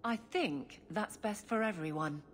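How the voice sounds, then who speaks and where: A woman's voice: mid-range voice, a little slow, slight background noise, lightly acted. A woman voicing a firm, upright, loyal captain of the guard in a video game. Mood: stern.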